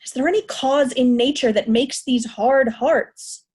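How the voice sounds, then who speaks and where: Mad old monarch, female actor, high voice, raving commands, stage Shakespeare. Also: a little slow, a little mellow, husky. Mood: distracted, weary. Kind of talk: remote reader's theatre Shakespeare.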